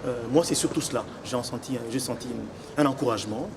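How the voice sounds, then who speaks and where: A young man from Congo who lives in Belgium, giving deliberate, explanatory interview answers as an election candidate. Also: medium pitch, creaky. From Congo, living in Belgium since 1984. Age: young adult. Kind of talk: semi-formal interview answers. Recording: noisy location with background ambience.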